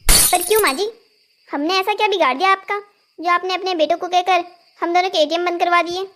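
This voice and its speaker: A woman acts out a young daughter-in-law from a cartoon household drama. Her voice is very high and girlish. She speaks in a melodramatic, exclamatory way, as someone used to shopping and complaining. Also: fairly quick, background noise. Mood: confronting. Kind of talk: Hindi cartoon family drama dialogue.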